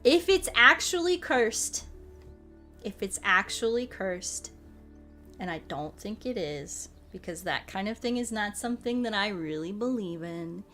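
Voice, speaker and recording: A woman's voice: mid-range voice, a bit bright. A woman livestreamer chatting casually in a playful manner, often repeating her phrases for comic effect. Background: background music.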